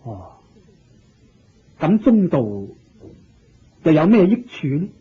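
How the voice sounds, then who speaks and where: An actor playing a humble, eager king in a Cantonese radio drama, with a husky voice, a Hong Kong accent and deferential, expressive exclamations. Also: on the high side, slow, full character voice. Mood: curious. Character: humble, eager king seeking Buddhist teaching. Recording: dull lo-fi radio drama recording.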